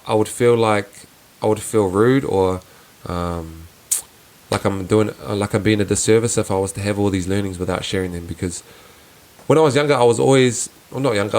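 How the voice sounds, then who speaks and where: A young man with a soft, fairly low voice talks through his thoughts on his own podcast. He sounds earnest and reflective, often restarting sentences and pausing on fillers as he works out what he means. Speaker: podcast host. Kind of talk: casual reflective solo podcast.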